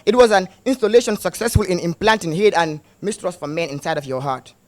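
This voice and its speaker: A man's voice: high voice, brisk, darkish tone, no echo. A man performing spoken word poetry at a slam, pleading and intense, leaning on repeated emphasis. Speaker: slam poet.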